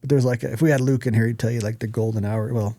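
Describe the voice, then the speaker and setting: A man with a mid-pitched, mellow voice chats casually as a podcast co-host. His delivery is informal and rambling, recorded close and dry with no room sound. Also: fairly even intonation, fast, no room sound.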